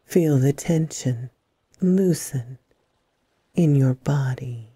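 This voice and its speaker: A woman hosting a guided meditation, speaking in soothing, deliberate phrases with long pauses and a breathy softness. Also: rumbling low, no echo. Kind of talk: slow guided meditation narration.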